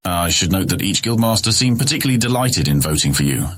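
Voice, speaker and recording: An actor playing a scheming, condescending noble brother who mocks his younger sibling with cold sarcasm in a husky voice. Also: deep voice, animated, quick pace, a bit bright. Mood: smug. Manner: smug, mocking, coldly sarcastic. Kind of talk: audio drama acting.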